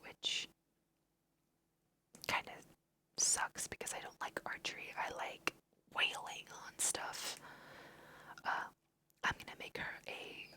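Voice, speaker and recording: A woman whispering casual, chatty ASMR commentary over a video game, thinking aloud in a breathy voice. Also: pushed and bright. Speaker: host of an ASMR let's play.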